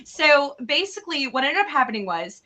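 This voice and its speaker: A woman retelling a tale in a casual, chatty way, with an animated, theatrical and slangy manner. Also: high-pitched, brisk. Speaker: co-host retelling fairy tales.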